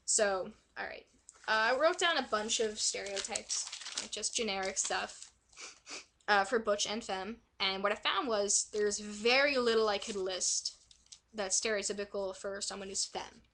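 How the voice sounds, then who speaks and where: A young woman vlogging casually, hoarse and raspy from a cold, rambling with lots of fillers and self-corrections. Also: mid-range voice, a little slow, bright, forceful tone, slight background noise. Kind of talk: casual vlog talk. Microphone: quiet built-in mic.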